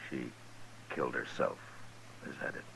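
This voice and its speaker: An American actor playing a stern, gruff, commanding frontier marshal in a 1950s radio western. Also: male voice, moderate pace, bright, forceful tone, lightly acted. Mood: hushed, grave. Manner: commanding, blunt. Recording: noisy old radio drama recording.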